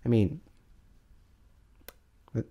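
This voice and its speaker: A man's voice: extremely slow, mellow. A man giving a casual, unscripted spoken review, rambling and thinking aloud in a self-deprecating way. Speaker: amateur vlog reviewer.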